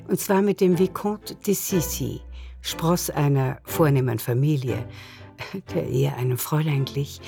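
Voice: mid-range voice, gravelly. A narrator reading scripted literary prose in a radio drama.

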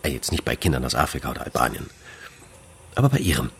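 An actor playing a dry, worldly police commissioner in a German radio crime drama, husky and measured. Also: male voice, rumbling low, big pitch swings, heavily acted. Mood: confidential. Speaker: police investigator.

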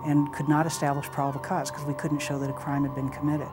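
A man's voice: on the high side, completely flat delivery, quick pace. A man with an American accent explaining matters as a police official in an interview. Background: music.

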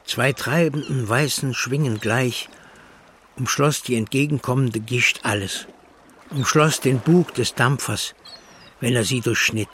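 A man's voice: medium pitch, fairly bright tone, a little hiss or hum. A man reading literary narration as the narrator of a drama.

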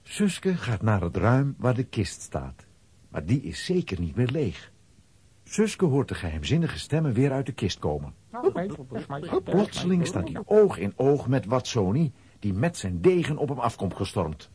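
Very husky, expressive male storyteller. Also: mid-range voice, unhurried. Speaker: narrator. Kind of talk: scripted storytelling narration for children's audio drama.

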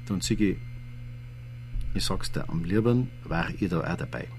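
An actor playing an easygoing small-town police desk officer, chatting in colloquial Bavarian as in a radio drama. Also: male voice, sits low, moderate pace, a little mellow, faint music behind. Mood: gossipy. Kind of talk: naturalistic radio drama dialogue.

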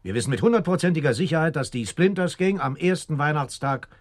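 An actor playing a composed, determined police captain in a radio drama, matter-of-fact and authoritative. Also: male voice, a little high, expressive, fast, natural voice. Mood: urgent, convinced.

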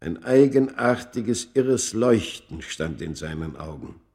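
A man's voice: fairly low pitch, animated, mellow. An actor playing a tormented undying man who recounts his cursed past in grave, literary radio-drama narration. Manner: grave, deliberate, literary storytelling.

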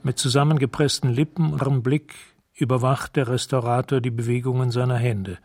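Measured male radio drama narrator. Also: medium pitch, steady tone, darkish tone, a little hiss or hum. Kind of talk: scripted present-tense radio drama narration.

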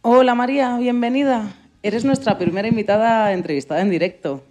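A woman's voice: on the high side, brisk, darkish tone, soft background music. A woman co-hosting a radio show, giving a friendly, prepared interview.

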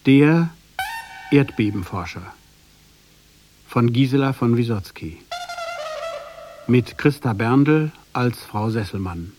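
A man's voice: mid-range voice, expressive, slow, pauses often, a little mellow, faint music behind. A man announcing the title and cast of a radio drama in a scripted, formal style.

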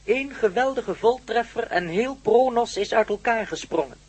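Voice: mid-range voice, animated, a little mellow, slight background noise, close to an everyday voice. An actor playing a grave alien being in a radio play, speaking in a formal, precise way. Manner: formal, measured, matter-of-fact explaining. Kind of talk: Dutch radio play acting.

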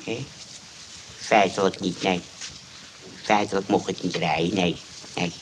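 A man's voice: low voice, fairly even intonation, measured pace, a little hiss or hum. An actor playing a sheepish, evasive old grandpa in a radio comedy, hesitant and repeating himself as he stammers excuses. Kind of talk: radio comedy drama acting.

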